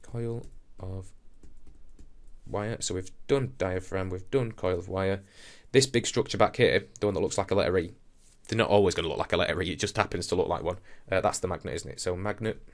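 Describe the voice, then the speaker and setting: A man's voice: low voice, fairly quick. A man teaching a science lesson in an informal, explanatory way, talking conversationally and thinking aloud as he demonstrates.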